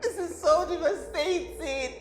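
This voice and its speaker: Betrayed mother, played by a woman: very high, slow and anguished, pleading and shouting. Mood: devastated. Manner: pleading, shouting, sobbing laughter. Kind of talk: melodramatic film acting.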